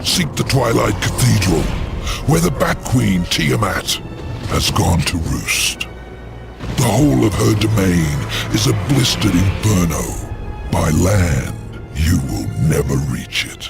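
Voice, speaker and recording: An actor playing a sly, commanding demon lord in a fantasy game, with theatrical, imperious delivery. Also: male voice, low-pitched, big pitch swings, takes it slowly, vocal fry, exaggerated voice. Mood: scheming, commanding. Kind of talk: dramatic fantasy game acting. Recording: music behind the voice.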